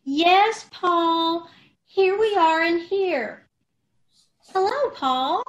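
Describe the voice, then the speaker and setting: A woman's voice: extremely high pitch, drawn-out words, exaggerated voice. A woman playing a scatterbrained, agreeable wife in an old-time radio comedy, ditzy and earnest, over a video call. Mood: chirpy, agreeable. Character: scatterbrained, agreeable wife who mangles sayings.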